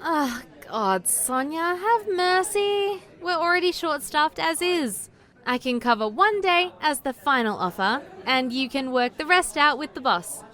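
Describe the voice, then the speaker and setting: A woman's voice: sits high, animated, a little slow, bright, forceful tone, full character voice. A woman playing a bubbly, casual young call-centre coworker in a comedic audio drama, chirpy and dramatic. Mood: apologetic, pleading.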